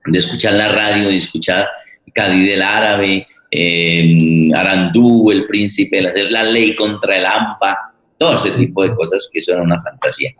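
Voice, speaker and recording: A man's voice: low-pitched, steady tone, a little slow, a bit bright. A man, recorded in a roomy, echoing space. He talks reflectively as a forum moderator, often repeating words while he looks for the next phrase. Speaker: theatre director and forum moderator. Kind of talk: reflective spoken forum talk.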